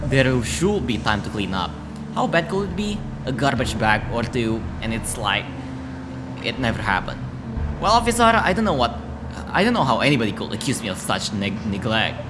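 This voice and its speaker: A man doing a casual let's-play, reading story text aloud in a halting way and stumbling over words. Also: lowish voice. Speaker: game streamer. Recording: music playing behind the voice.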